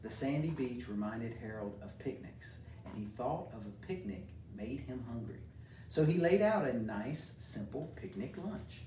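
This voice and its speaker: A man's voice: medium pitch, expressive, moderate pace, dark tone. A middle-aged American man speaking informally to children as a community leader and storybook reader. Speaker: mayor reading to children. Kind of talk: informal talk and storybook read-aloud for children. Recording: roomy echo, distant mic, faint noise.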